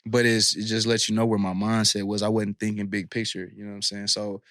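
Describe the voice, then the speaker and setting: Man, low voice, thirties, casual slangy storytelling, dry close sound. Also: flat delivery, fast talker, fairly bright tone, no echo. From Kansas City, USA. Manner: candid, slangy storytelling with many fillers. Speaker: podcast guest. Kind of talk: casual chat.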